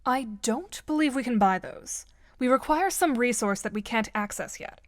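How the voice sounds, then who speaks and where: A woman's voice: a little high, expressive, moderate pace, over loud music, natural voice. A woman playing a composed, bossy, articulate teenage girl in an audio drama, formal and matter-of-fact. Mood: dry, puzzled.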